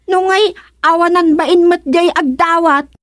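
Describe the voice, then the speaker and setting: A woman's voice: very high-pitched, steady tone, measured pace, fairly bright tone, no echo, clean studio sound. A woman playing a chatty village neighbor in an Ilocano radio comedy drama, with an Ilocano accent. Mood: teasing, chatty.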